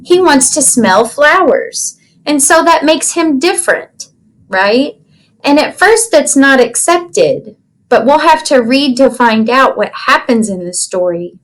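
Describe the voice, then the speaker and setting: Slow, high-voiced woman reading to children. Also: expressive, rough recording. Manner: simple, explanatory, checks in with young listeners. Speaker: teacher reading aloud to children. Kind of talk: children's storybook read-aloud with explanations.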